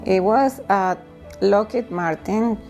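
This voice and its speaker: Creaky-voiced Venezuelan woman. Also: mid-range voice, darkish tone, soft background music. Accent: Venezuelan Spanish. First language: Spanish. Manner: halting non-native English phrasing. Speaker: documentary interviewee. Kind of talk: personal account to camera.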